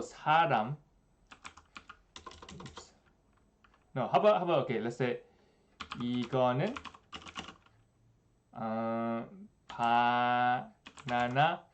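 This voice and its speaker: A man's voice: medium pitch, slight background noise. Young man teaching Korean on stream. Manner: relaxed, chatty, many fillers, slows down for examples. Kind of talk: casual livestream lesson. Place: small room indoors.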